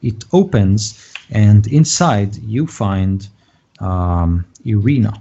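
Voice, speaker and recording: A man's voice: fairly low pitch, lively intonation, slow pace, mellow, breathy edge, highs cut off. A man with an Israeli accent narrating a tabletop game as game master, hesitant and full of fillers.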